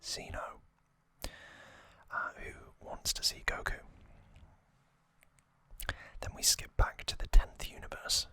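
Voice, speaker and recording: A host whispering an ASMR recap in a soft, unvoiced, unhurried voice with frequent pauses.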